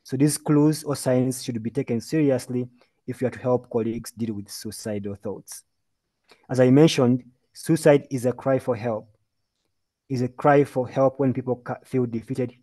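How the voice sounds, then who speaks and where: A man's voice: medium pitch, normal speed, mellow. Earnest man from Uganda giving a webinar talk. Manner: earnest, emphatic, lecturing with lists and repeated phrases. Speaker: mental health speaker. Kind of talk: webinar presentation.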